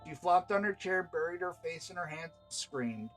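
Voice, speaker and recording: A man's voice: a little high, expressive, moderate pace, a bit bright, faint music behind, rough recording. A man reading fantasy story narration as the host and narrator of a game.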